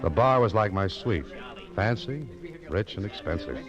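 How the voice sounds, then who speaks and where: An actor playing a world-weary, wry American private detective in a deadpan radio noir style. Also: male voice, lowish voice, moderate pace, over music, close to an everyday voice. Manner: dry, deadpan, wisecracking. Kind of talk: 1940s-50s radio noir narration and dialogue. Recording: muffled vintage radio recording.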